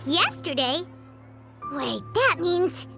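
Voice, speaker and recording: Tiny childlike fairy, extremely high squeaky voice, excitable, swooping intonation, game character, music behind. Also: female voice, slow pace, creaky, heavily acted. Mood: surprised. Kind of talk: video game voice acting.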